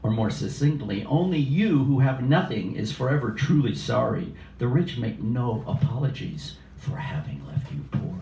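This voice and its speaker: Gravelly older man reading poetry. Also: medium pitch, very animated, normal speed, hardly any pauses, warm tone. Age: 60s. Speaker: poet at an open mic. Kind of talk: live poetry reading with casual asides. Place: small indoor cafe open mic. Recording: roomy, distant cafe live stream with noise.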